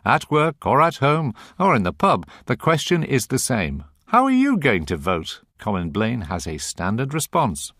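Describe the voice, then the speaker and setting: A man's voice: medium pitch, wildly swooping pitch, a little slow, hardly any pauses, a bit bright, no room sound, clean studio sound. A man presenting a radio programme, reading scripted links and putting questions to interviewees.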